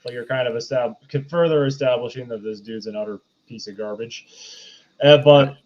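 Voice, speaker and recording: A man's voice: medium pitch, normal speed, darkish tone. A man co-hosting a podcast, giving casual opinions on a film over a dull microphone. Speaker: podcast co-host. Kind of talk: casual film review chat.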